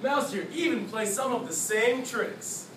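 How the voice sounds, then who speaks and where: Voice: medium pitch, lively intonation, unhurried. A performer telling a scripted story in readers theatre, with a projected, emphatic stage delivery. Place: classroom, indoors. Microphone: distant camera. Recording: echoey classroom from far, faint noise.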